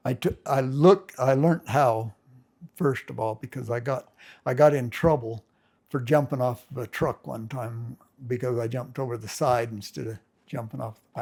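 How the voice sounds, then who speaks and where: A man's voice: medium pitch, steady tone, measured pace, vocal fry, pauses often, darkish tone. An older man casually reminiscing as a family storyteller, rambling with folksy grammar and many restarts.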